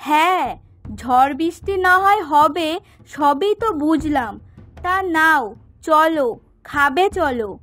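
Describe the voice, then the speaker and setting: A woman acting as a very high-voiced young village girl in a cartoon fable. She is a caring, earnest daughter who tends to fret, with music playing behind her. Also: takes it slowly, long pauses, fairly bright tone. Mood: urging, eager. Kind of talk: Bengali cartoon moral story voice acting.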